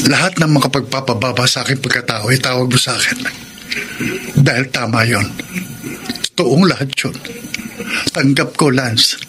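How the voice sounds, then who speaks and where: A man acts out a lovelorn, forgiving young groom in a Filipino-accented radio drama. His medium-pitched voice is earnest and emphatic, stretching words for drama. Clear noise sits behind him on the recording. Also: close to an everyday voice. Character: devoted, forgiving young groom, wounded and pleading. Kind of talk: Tagalog radio drama acting.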